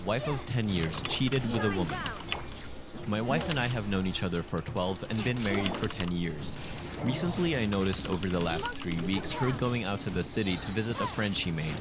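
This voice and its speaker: A man reading written personal posts aloud as a scripted narrator. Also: on the low side. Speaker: narrator of online relationship stories. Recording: clearly noisy background.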